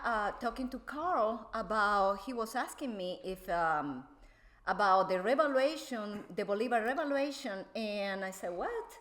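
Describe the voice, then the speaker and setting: A Venezuelan woman speaking English as a second language, explaining things to a show host in a halting way with many fillers. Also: medium pitch, fairly bright tone. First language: Spanish. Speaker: guest. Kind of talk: casual explanatory chat.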